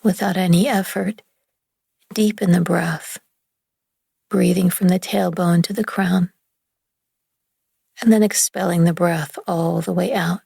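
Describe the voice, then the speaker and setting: A woman leading a guided relaxation meditation in a gentle, soothing, hushed manner with long pauses between phrases. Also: fairly low pitch, fairly even intonation, creaky, slightly rough, no echo, clean studio sound. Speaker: yoga nidra meditation guide.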